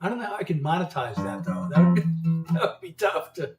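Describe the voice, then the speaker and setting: A man's voice: fairly high pitch, big pitch swings, fairly quick. Chatty older man, distant roomy mic. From United States. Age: 70s. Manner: rambling, chatty, laughs often. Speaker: singer-songwriter. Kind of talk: casual livestream chat. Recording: roomy, distant mic, guitar music behind.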